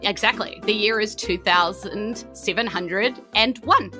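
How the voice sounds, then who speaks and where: A woman with a New Zealand accent runs a tabletop game as its host. Her voice is bright and sits at an average pitch. She mixes theatrical storytelling with chatty asides over faint music. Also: measured pace. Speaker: game master and podcast host. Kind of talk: narrating a tabletop game on a stream.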